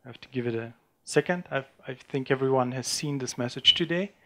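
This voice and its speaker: A man gives a technical talk to a conference audience. His voice is gravelly and very bright, almost sharp. He talks haltingly and restarts his sentences often as he works through a live demo. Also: mid-range voice. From Bulgaria. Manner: halting, frequent restarts and fillers while demoing. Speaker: conference speaker. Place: conference room before an audience.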